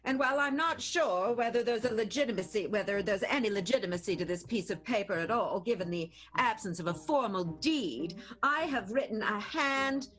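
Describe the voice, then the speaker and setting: A woman playing a sly, affected, evil theater owner in an improvised radio play, coy and husky. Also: mid-range voice, lively intonation, moderate pace, few pauses, a bit bright, soft background music, natural voice. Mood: sly, flattering.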